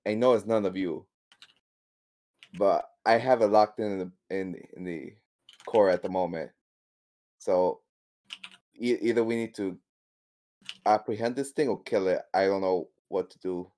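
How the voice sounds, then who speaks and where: A man's voice: deep voice, a little slow, long pauses, a little mellow. A man speaking in character in a tabletop game, hesitant and uncertain. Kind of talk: casual in-character game talk.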